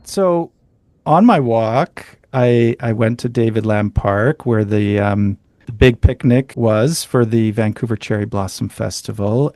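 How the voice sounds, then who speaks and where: A man hosting a reflective interview about haiku, speaking gently and thoughtfully as he asks layered questions. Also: fairly low pitch, no echo, clean studio sound. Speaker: podcast host and haiku judge.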